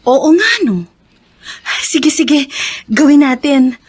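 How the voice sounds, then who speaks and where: A woman playing a gentle, caring, generous wife in a Filipino radio drama. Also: high-pitched, slow. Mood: concerned, agreeable.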